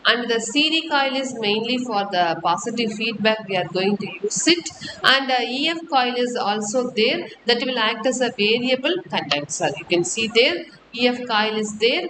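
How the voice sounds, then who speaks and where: A woman lecturer with an Indian accent speaks in a fairly high voice. She explains technical material haltingly, often repeating herself. The room is strongly echoey, with clear rumbling noise behind her. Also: lively intonation. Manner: halting, repetitive phrasing.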